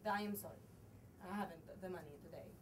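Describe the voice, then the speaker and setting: A woman's voice: fairly low pitch, quick pace, lightly acted. A woman acting a proud, melodramatic, imperious widow in mourning in a theatrical stage play. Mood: haughty, firm. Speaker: student actor. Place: small theater stage, far camera. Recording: distant stage recording with noise.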